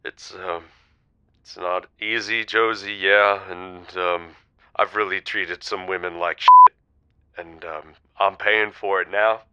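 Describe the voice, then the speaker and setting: A man's voice: sits low, steady tone, takes it slowly, noisy background. A man acting as a humbled, remorseful scammer on a radio dating show, hesitant and contrite. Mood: contrite, defeated. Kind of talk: subdued radio drama acting. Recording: dull lo-fi sound.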